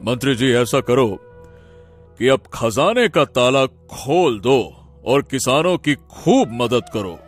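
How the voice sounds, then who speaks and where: A man's voice: mid-range voice, lively intonation, slow pace, faint music behind. A man voicing a kindly but commanding king in a cartoon story, with a stately, authoritative manner. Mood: concerned. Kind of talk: Hindi cartoon story voice acting.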